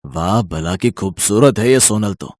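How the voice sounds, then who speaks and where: A man's voice: lowish voice, animated, unhurried, a little mellow, no echo, clean studio sound. A man voicing a lecherous, bullying rich village moneylender in a cartoon story, with a leering, domineering manner. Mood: leering, sly. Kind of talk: Hindi cartoon story acting.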